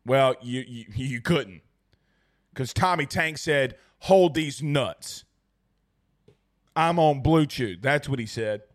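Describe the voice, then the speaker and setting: A young man hosting a post-game sports livestream, hoarse and losing his voice, excitable and rambling as he reads viewer messages. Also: mid-range voice, slow pace, pauses often. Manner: excitable, rambling, repeats himself. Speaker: sports talk show host. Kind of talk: excited post-game livestream chat reading super chats.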